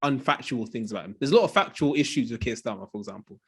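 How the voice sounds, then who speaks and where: A male podcast host talks very fast in a rambling, casual way. He fills his speech with "like" and chats over a video call. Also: medium pitch, lively intonation, husky. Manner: quick, rambling, with many "like" fillers.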